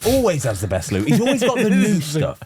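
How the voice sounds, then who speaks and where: A man's voice: sits high, fairly quick. A man co-hosting a football podcast from a club kit room, a former player asking playful questions and telling stories. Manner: lively, teasing, asks questions with tags. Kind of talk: casual podcast chat.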